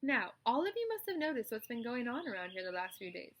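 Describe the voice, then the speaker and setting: A bossy, quick-witted female spider from a children's story, as a woman reader puts her on. She speaks in a crisp, exacting way with dry scorn, taking charge of every creature around her. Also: fairly high pitch, big pitch swings, a bit bright, pitched above the performer's own voice. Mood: brisk, confident. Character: brisk, bossy, clever female spider running a meeting. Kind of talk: children's storybook read-aloud.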